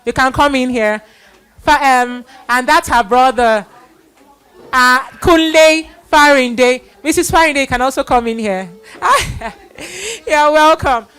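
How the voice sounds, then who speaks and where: A woman's voice: high-pitched, slow, a bit bright, clean tone. A middle-aged woman hosting a live children's Bible event, introducing and addressing people in an exhorting manner with repeated phrases.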